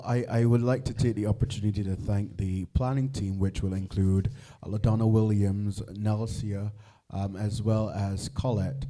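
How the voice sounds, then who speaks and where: A man's voice: on the low side, dark tone. Barbadian man moderating a press conference. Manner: polite, measured, with frequent fillers. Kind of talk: formal press briefing.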